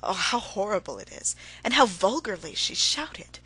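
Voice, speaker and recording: A woman voicing a guilt-stricken, easygoing husband, soft and muttering to himself. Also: mid-range voice, big pitch swings, normal speed, husky, heavily acted, rough recording. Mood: musing.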